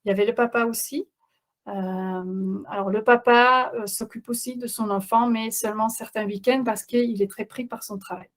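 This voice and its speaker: A woman's voice: fairly high pitch, fairly even intonation, normal speed. Hesitant woman coach, non-native French speaker. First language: not French. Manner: hesitant, many fillers and restarts. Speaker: parenting coach. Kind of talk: webinar presentation.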